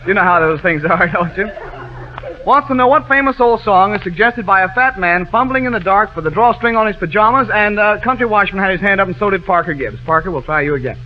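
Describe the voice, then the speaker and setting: A man's voice: high-pitched, expressive, quick pace. A man hosting a 1940s radio quiz show with chatty, teasing, wisecracking patter. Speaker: quiz show host, master of ceremonies. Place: radio studio show with band and audience. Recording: muffled 1940s radio broadcast, music behind.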